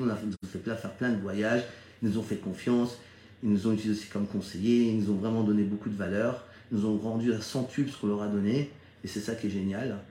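An echoey recording carries a middle-aged man from France with a soft, mellow timbre. He talks informally like a business mentor sharing his experience. His delivery is conversational, regularly seeking agreement from his listeners. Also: fairly low pitch. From Strasbourg, Alsace, France. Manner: conversational, seeks listeners' agreement with frequent check-ins. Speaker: network marketing leader. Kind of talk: informal motivational talk recounting own career. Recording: echoey, roomy sound.

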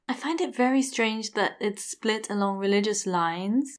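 A woman's voice: mid-range voice, unhurried. A woman co-hosting a discussion podcast, speaking in a thoughtful, frank, conversational way. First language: not German. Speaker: podcast co-host.

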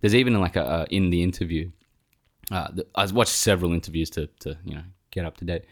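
A man's voice: sits low, quick pace. A young man co-hosting a podcast, chatting casually about a film, rambling with lots of fillers and casual swearing. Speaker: podcast co-host. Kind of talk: casual film review chat.

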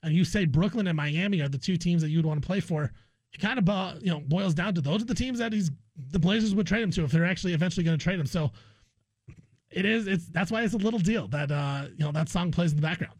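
High-voiced American man talking sports. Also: quick pace, a little mellow, no echo. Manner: conversational, repeats phrases for emphasis. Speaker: guest co-host of a sports talk show. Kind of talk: casual, opinionated basketball discussion.

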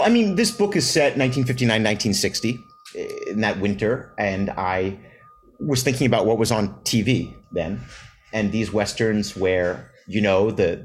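A man, a novelist being interviewed, answering at length in a reflective way, often restarting phrases with fillers. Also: on the low side. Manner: thoughtful, many restarts and fillers. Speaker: novelist guest.

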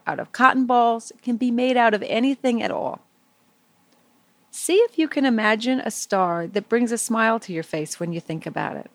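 A woman leading a guided visualization for young children, speaking in simple, soothing phrases with gentle pauses. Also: medium pitch, dry recording.

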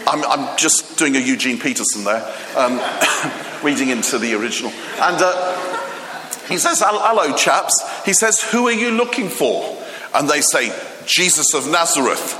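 A Greek-born man preaching in a church hall, his bright, forceful voice carrying a long reverb. He tells his stories with lively animation, restarting sentences and dropping in fillers. A faint room ambience sits behind him. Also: on the high side, normal speed, a little hiss or hum. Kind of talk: sermon.

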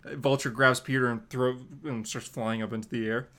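A man narrates a comic story as a podcast host, in a casual and informal way. He paraphrases loosely at a middling pitch, with plenty of conversational filler. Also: steady tone, moderate pace, bright, forceful tone. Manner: informal, loose, uses "like" often.

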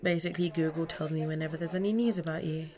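A woman's voice: low-pitched, fairly even intonation, quick pace, mellow, soft background music, natural voice. An actress playing a nervous, eager young woman in a radio play, apologetic, hesitant and polite in naturalistic dialogue. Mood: nervous, admiring. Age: young adult. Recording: busy restaurant chatter behind. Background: restaurant crowd chatter.

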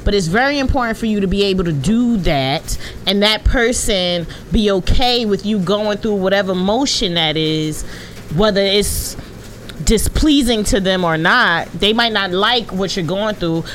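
A woman's voice: mid-range voice, lively intonation, unhurried, a bit bright, slight background noise. A woman in her late twenties talking candidly and emphatically as a podcast co-host in casual conversation. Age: late 20s. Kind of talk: candid casual panel chat.

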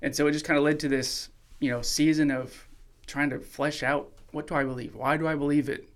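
A man who grew up in Mexico, a podcast guest calmly telling his faith story in a relaxed, reflective way. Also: a little high, a little fast. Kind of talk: casual testimony sharing.

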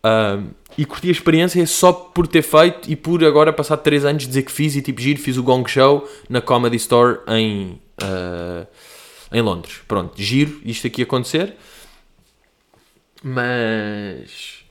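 A man's voice: mid-range voice, expressive, a little slow. Rambling young Portuguese man, slangy chat. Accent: European Portuguese. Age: young adult. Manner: rambling, slangy, self-mocking. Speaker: comedian host answering listener questions. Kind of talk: casual monologue.

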